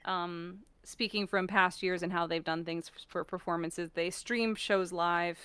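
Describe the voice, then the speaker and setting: A woman's voice: lowish voice, steady tone, a little slow, a bit bright, clear, resonant tone. An American woman chatting casually and expressively as a guest on a stream, acting out bits of what she quotes. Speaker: voice actor and performer, guest on a stream. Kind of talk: casual, animated chat.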